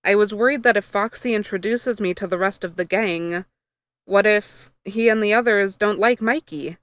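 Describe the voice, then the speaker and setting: A woman's voice: medium pitch, normal speed, a bit bright, no echo. A woman narrating a first-person story, reading from a script as she goes. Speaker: fan fiction reader.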